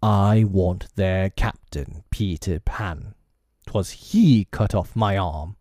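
A man's voice: low-pitched, expressive, dark tone, dry recording. Guy doing a storybook pirate captain, menacing n brooding, drags his words out dramatically, dry close sound. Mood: vengeful, passionate. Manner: slow, drawn-out, dramatic delivery.

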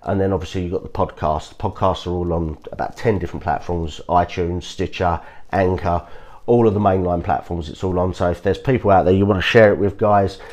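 A man hosting a podcast, sounding croaky from a cold, giving earnest casual advice with frequent restarts and repeated reassurances to his listeners. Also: low voice, fairly even intonation, fairly quick, darkish tone. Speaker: podcast host. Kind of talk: casual motivational talk.

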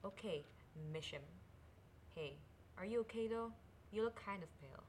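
A woman's voice: medium pitch, expressive, normal speed, darkish tone, slight background noise, natural voice. A woman playing a curious, restless young princess and affectionate sister in an audio drama. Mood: sly, urgent.

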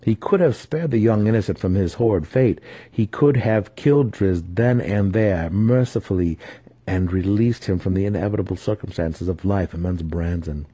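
A man's voice: sits low, moderate pace, dark tone, breathy edge, dry recording, poor audio. A man giving a dramatic scripted reading of a fantasy novel as its narrator. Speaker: audiobook narrator.

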